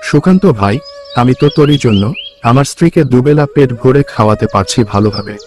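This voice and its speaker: A man's voice: mid-range voice, lively intonation, fairly quick, a little mellow, natural voice. A man playing a poor, hardworking village farmer in a cartoon folk tale, speaking in an earnest, plain-spoken way. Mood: grateful. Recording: music behind the voice.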